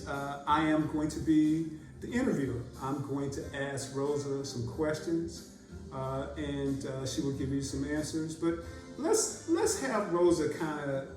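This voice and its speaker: A man hosting a casual podcast, his talk full of "uh" fillers. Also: fairly high pitch. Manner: halting, many "uh" fillers. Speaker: podcast host. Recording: roomy sound from a distant mic.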